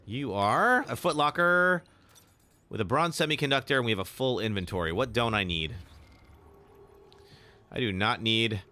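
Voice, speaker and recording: A young man, slightly raspy today, chatting casually while live streaming a game and answering chat. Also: mid-range voice, lively intonation, normal speed, long pauses. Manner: chatty, reacts with sudden loud exclamations; filler-heavy, answers chat. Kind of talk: live gameplay commentary.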